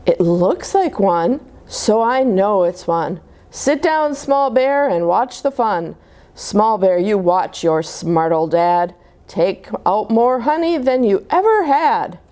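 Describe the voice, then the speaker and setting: A woman's voice: mid-range voice, sing-song, takes it slowly, warm tone. A woman voicing a boastful, confident father bear in a children's storybook, making pompous, emphatic pronouncements.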